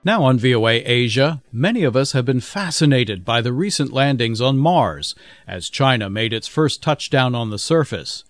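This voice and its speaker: A man hosting a radio news program with a smooth announcer delivery. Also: medium pitch, lively intonation, normal speed, soft background music.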